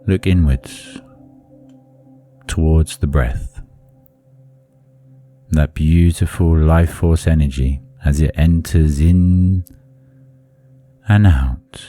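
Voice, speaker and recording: A man leading a guided meditation in a soothing, deliberate manner, leaving long pauses between phrases. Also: low voice, fairly even intonation, dark tone, faint music behind.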